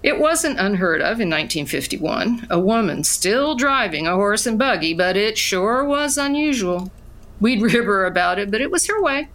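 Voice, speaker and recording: Female narrator: nostalgic, folksy audio drama storytelling, mid-pitched with very varied intonation. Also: fairly bright tone. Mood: fond, wry. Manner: reminiscing, folksy. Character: wistful woman narrator recalling her childhood.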